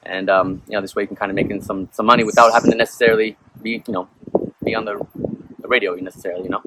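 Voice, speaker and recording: A man's voice: fairly low pitch, fairly even intonation, very fast. A young man born in Peru, a musician being interviewed, who rambles casually and fills his talk with "you know" and "um". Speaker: musician guest. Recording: noisy background.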